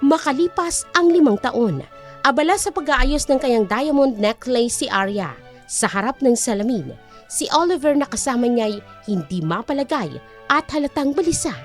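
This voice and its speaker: A woman's voice: high-pitched, lively intonation, moderate pace, faint music behind. A woman narrating an audiobook with a dramatic, scripted storytelling delivery.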